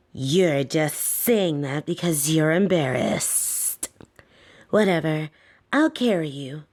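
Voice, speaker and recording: A woman playing a soft-spoken, domineering lamia caretaker in a roleplay audio, teasing and coaxing with a condescending, softly menacing edge. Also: on the low side. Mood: mock-hurt, teasing, then teasing, insistent. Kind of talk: scripted roleplay audio, intimate second-person address.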